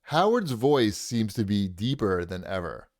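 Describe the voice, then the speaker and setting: A man co-hosting a podcast, talking through his points in a casual, analytical way with a deep voice. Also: big pitch swings, unhurried, no echo, clean studio sound. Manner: analytical, with frequent restarts. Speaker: podcast co-host. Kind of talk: casual chat.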